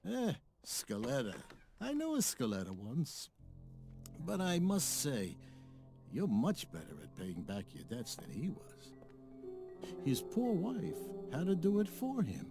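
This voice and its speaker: An actor playing a sly, mock-courteous loan shark with a husky voice and a measured, probing manner in a game cutscene. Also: male voice, on the high side, huge pitch swings, heavily acted. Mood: dry curiosity. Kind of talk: video game cutscene acting. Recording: music behind the voice.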